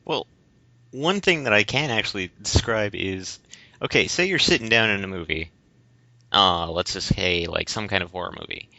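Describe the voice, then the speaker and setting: Male podcast co-host, lowish forceful voice, rambles and halts through casual explanations on a close microphone. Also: animated, moderate pace, gravelly, dry recording. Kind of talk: casual discussion. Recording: dull, lo-fi voice recording.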